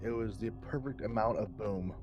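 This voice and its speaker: Lower-voiced male gamer over music. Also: moderate pace, dull audio. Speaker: player in a tabletop game. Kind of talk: casual chat.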